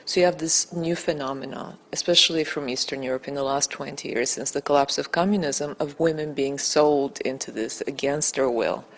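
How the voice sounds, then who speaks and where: A native Bulgarian woman answering interview questions as a documentary director, earnest, deliberate and emphatic. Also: sits low.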